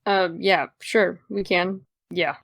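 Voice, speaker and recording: A woman's voice: on the low side, steady tone, slow pace, gravelly, fairly bright tone, no echo, full character voice. An actress playing a guarded, hungover teenage girl, dry, hesitant and defensive, in a naturalistic audio drama. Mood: tired, guarded.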